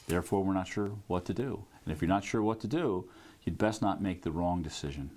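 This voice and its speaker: A man's voice: low voice, fairly quick, darkish tone, a little hiss or hum. A man speaking as an expert in an interview soundbite.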